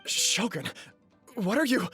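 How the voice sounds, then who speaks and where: A calm, principled clan heir. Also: male voice, high voice, slightly rough, faint music behind, exaggerated voice. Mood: calm, earnest. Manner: calm, measured, earnest. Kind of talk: video game voice acting.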